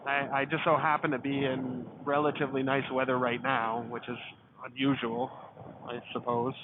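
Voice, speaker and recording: A man's voice: medium pitch, flat delivery, moderate pace. A man with a light Southern US drawl talking to camera outdoors in a rambling, casual way and cursing freely. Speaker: motorcycle travel vlogger. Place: outdoors near a levee. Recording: tinny phone-like sound with loud background noise.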